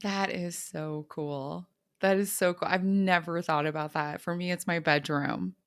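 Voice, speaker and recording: A woman's voice: lowish voice, fairly even intonation, a little slow, no room sound, clean studio sound. A woman in her thirties hosting a podcast, telling a story with enthusiasm and chatting casually with her guest. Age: 30s. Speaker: podcast host. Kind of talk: casual conversational interview.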